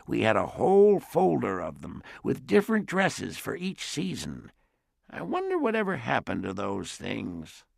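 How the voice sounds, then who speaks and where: Old great-aunt figure, gravelly, lilting pitch, dry close sound, voiced by a man. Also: huge pitch swings, no echo, full character voice. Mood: wistful. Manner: soft, slow and reminiscing. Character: frail elderly great-aunt, wistful and sad. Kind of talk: audiobook character voice.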